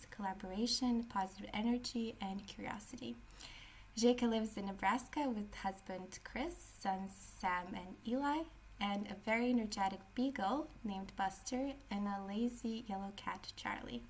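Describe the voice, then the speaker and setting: A woman's voice: mid-range voice, unhurried, a little hiss or hum. A woman hosting a podcast, reading a scripted guest introduction with occasional fillers. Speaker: podcast host.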